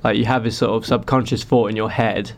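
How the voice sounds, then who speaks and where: A man's voice: lowish voice, steady tone, brisk, vocal fry. A young man, a singer-songwriter, talking casually and rambling through stories with lots of fillers. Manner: rambling, filler-heavy storytelling. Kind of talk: casual chat.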